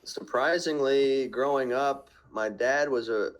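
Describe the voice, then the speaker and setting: A man's voice: mid-range voice, slow pace. A man from central Vermont, a podcast guest, chatting in an easygoing, rambling way. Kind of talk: casual chat.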